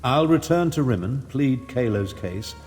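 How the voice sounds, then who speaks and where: An actor playing a haughty, calculating grand chancellor in a video game, with a pompous and deliberate delivery. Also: male voice, medium pitch, lively intonation, darkish tone, natural voice. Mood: considering. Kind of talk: video game dialogue acting. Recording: long reverb, clear music behind.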